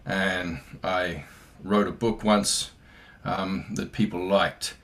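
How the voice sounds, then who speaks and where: A man's voice: deep voice, steady tone, slow pace, a bit bright. A man from far north Queensland with an Australian accent, hosting a casual video call from his home in a playful, joking manner. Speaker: host. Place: home room.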